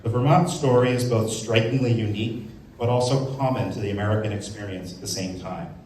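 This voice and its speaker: A man giving opening remarks to the public as a museum staff speaker, speaking in an informal to measured way. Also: fairly low pitch, darkish tone. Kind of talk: public speech, part off-the-cuff, part scripted. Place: indoors in a museum. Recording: very echoey room, distant mic, faint noise.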